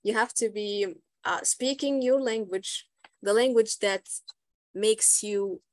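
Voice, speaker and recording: A woman's voice: medium pitch, slow pace, a little mellow, dry recording. Young Egyptian-accented woman, English teacher, encouraging, dry close mic. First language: Arabic. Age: young adult. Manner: encouraging, advice-giving. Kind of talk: video call conversation.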